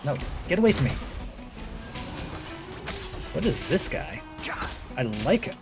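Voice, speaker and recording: A man's voice: fairly high pitch, sing-song, measured pace. A man giving casual live commentary as he streams a video game. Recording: game music clearly behind voice. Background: video game music.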